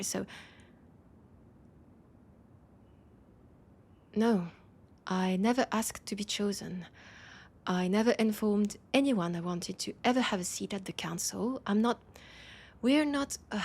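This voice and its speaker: A woman with a French accent voicing a weary, wry female vampire in an intimate audio roleplay, speaking in a breathy, hesitant, confiding way. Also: mid-range voice. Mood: anxious, vulnerable. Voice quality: breathy, husky.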